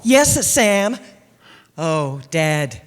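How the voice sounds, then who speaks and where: A woman's voice: low-pitched, big pitch swings, drawn-out words, heavily acted. A woman playing a dutiful, anxious detective's secretary in 1940s radio style. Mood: dutiful, shocked. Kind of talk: 1940s radio drama acting. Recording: echoey stage hall, faint noise.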